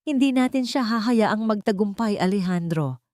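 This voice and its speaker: A woman's voice: mid-range voice, lively intonation, moderate pace, a little mellow, no room sound, voice pushed up, close to an everyday voice. A narrator woman plays a caring, idealistic heroine who speaks with earnest resolve. It is romantic storybook drama with a very dry sound. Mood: resolute.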